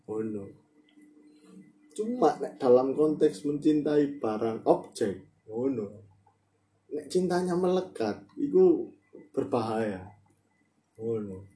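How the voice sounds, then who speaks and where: A man's voice: medium pitch, animated, slow, pauses often, warm tone. A man with an East Javanese accent hosting a casual, playful chat, often repeating his phrases and slipping into regional slang. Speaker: podcast host.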